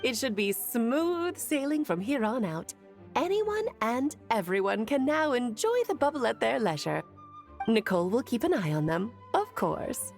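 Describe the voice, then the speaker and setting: A woman's voice: on the high side, a little mellow. Theatrical, lilting, playful witch. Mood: breezy, explanatory. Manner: theatrical, teasing, eloquent. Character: playful, self-assured, worldly witch woman, teasing and wise. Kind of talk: video game dialogue acting, storytelling exposition.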